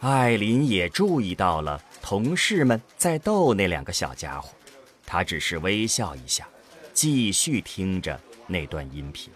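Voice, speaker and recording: A man's voice: low voice, sing-song, unhurried. A man delivering scripted narration for an audio drama as its storyteller.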